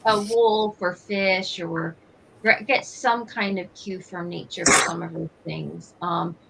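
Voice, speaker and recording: A woman's voice: fairly low pitch, steady tone, takes it slowly, gravelly, heavy background noise. A woman chatting casually as a player in a roleplay game, tentative and often asking questions.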